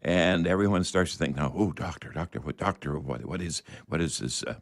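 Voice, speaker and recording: A man hosting live call-in radio in a folksy, halting, rambling way. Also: sits low, vocal fry. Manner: folksy, addresses listeners as folks; halting, rambling delivery with many hesitations. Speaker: radio host.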